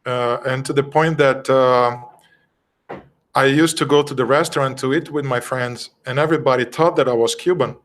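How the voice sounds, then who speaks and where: A man's voice: medium pitch, fairly even intonation, a bit bright. Middle-aged brazilian guy teaching a spiritist class, portuguese accent, earnest and chatty, stops a lot to find words. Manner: earnest, conversational, often pausing to search for words. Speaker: spiritist study group speaker. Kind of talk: informal doctrinal class talk.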